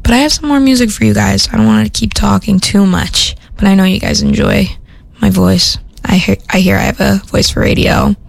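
A woman's voice: mid-range voice, steady tone, a little fast, vocal fry. A young woman from Minnesota, a radio host chatting casually between songs in an upbeat, rambling way full of "like" and "um," recorded in a studio. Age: young adult.

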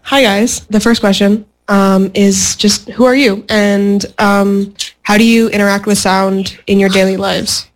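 A woman's voice: medium pitch, steady tone, a little slow, slight background noise, poor audio. A woman hosting a live podcast panel, friendly and a little hesitant. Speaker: podcast host. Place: event room with a live audience.

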